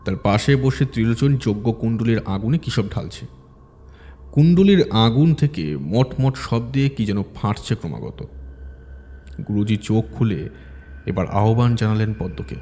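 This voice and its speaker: A man narrating a scripted ghost story in a measured, dramatic way with pauses. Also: lowish voice, expressive, mellow, slight background noise. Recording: long reverb, music underneath.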